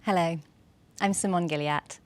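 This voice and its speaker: A woman's voice: lowish voice, steady tone, normal speed, no room sound. A woman who grew up between England and Trinidad, performing rhyming spoken-word poetry in a playful, rhythmic way.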